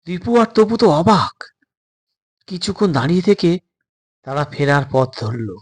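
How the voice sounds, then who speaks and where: A man narrates a suspense tale as a dramatized audio story. His voice sits a little high and has a distinctly husky, breathy grain, shaped for scripted storytelling. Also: big pitch swings, a little slow.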